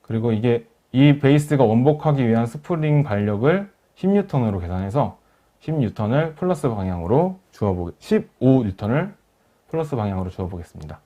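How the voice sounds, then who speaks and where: A man narrating a software tutorial as a webinar instructor, explaining each step in a polite, formal way. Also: mid-range voice, big pitch swings, fairly quick. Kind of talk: software tutorial narration over screen demo. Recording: dull lo-fi voice recording.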